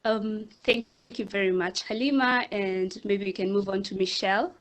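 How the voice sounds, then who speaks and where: Kenyan woman, online panel moderator, mid pitch, measured and friendly. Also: fairly even intonation. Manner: friendly, measured introductions with fillers. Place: video call.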